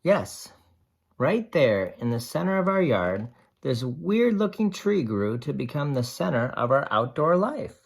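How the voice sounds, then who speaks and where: Slow, animated storyteller reading to young children, gender ambiguous. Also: medium pitch, vocal fry, breathy edge. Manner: slow, deliberate, explains and asks simple questions. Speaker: read-aloud storyteller. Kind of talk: expressive picture-book read-aloud with asides to young children.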